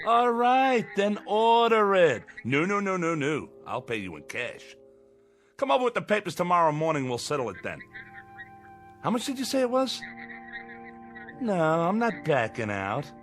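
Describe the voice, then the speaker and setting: An actor playing a cocky, bossy racetrack schemer who wisecracks in slang, in a 1960s television drama style. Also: male voice, high voice, a bit bright. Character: brash, impatient schemer, a cocky racetrack gambler.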